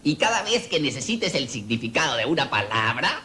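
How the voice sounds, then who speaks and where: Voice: mid-range voice, sing-song, brisk, bright, forceful tone, slight background noise. A performer voicing a cheerful rabbit puppet who explains words to children, giggly and stumbling on words.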